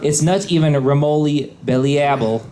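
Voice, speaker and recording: A man with a fairly high voice reads a story aloud. He is one of the readers on a live convention panel. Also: fairly quick, mellow. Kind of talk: read-aloud of garbled fan fiction.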